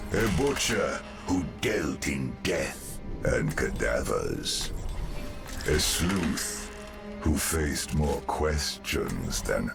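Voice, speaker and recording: Male game narrator, very deep and gravelly, slow and lively in pitch, over loud music. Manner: slow, dramatic storyteller. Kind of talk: storybook narration.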